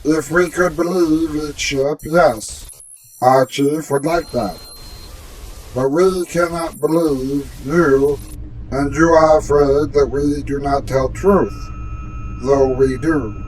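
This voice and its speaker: A man playing a gentle, earnest alien envoy in a sci-fi radio drama, speaking in stilted, formal phrasing. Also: on the high side, steady tone, slow pace, slight background noise, poor audio. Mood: solemn, candid. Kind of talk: golden age sci-fi radio drama acting.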